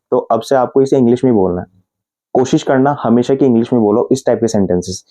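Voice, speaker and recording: Man, Indian accent, English teacher, patient word-by-word repetition, warm relaxed tone. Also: medium pitch. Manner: explains patiently, repeating example sentences slowly word by word. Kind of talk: Hindi-language English grammar lesson.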